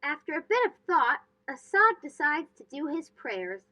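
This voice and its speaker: A woman reading a children's storybook aloud as host, expressive and phrased for young listeners. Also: high-pitched, normal speed.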